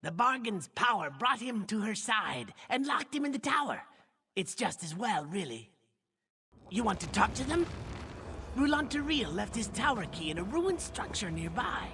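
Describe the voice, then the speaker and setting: A woman voicing a wry, theatrical talking crow with a raspy, croaky voice in a video game. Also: medium pitch, very animated, normal speed, background noise. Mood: wry, knowing. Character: talking crow, crass, loyal child of a lonely witch. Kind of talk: video game character acting. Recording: long cavernous reverb.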